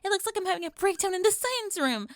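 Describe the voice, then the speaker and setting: A woman acts out a popular high school bully girl with a secret crush. Her voice is clearly high and recorded very dry. She is flustered and dramatic, getting defensive and tripping over her words in an audio roleplay. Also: lively intonation, fast talker, no room sound. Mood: flustered confession. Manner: melodramatic, defensive, flustered stammering. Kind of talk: ASMR audio roleplay acting.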